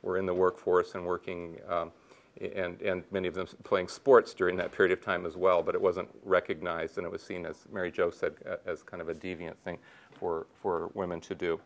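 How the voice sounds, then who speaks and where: A man's voice: sits low, a little fast. A man in his sixties giving a conference talk from a lecture room on a lapel mic, telling stories with many restarts and fillers. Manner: animated storytelling with many restarts and fillers, gestures while talking. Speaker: sociology researcher on a conference panel. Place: lecture room in front of a projection screen.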